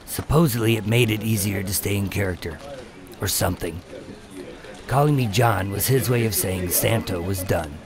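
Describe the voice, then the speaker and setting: A man playing an undercover agent in a radio drama, telling his story with deadpan, wry tension. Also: lowish voice, normal speed, a crowd in the background, natural voice. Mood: tense, wry. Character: wry, nervous undercover agent posing as mob enforcer. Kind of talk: first-person noir radio drama narration and dialogue.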